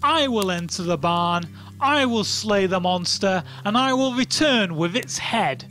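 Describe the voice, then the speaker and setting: A young knight from a bedtime tale as a storyteller acts him out, dramatic and declaiming. The delivery swings widely in pitch, with music playing behind. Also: expressive, a little slow, a bit bright. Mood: heroic resolve. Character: brave young knight, village hero turned dead scared.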